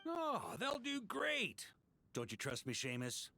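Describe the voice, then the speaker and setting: A man's voice: extremely high pitch, wildly swooping pitch, slow pace, gravelly, bright, forceful tone, faint music behind, exaggerated voice. A man voicing an easygoing, confident knight captain in a fantasy video game, casual and reassuring. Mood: breezy, reassuring. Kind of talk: video game character acting.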